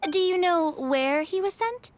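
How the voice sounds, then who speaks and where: A woman's voice: very high-pitched, expressive, measured pace. An actress playing a polite, bookish young woman adventurer who reasons things out thoughtfully and a little hesitantly. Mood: curious.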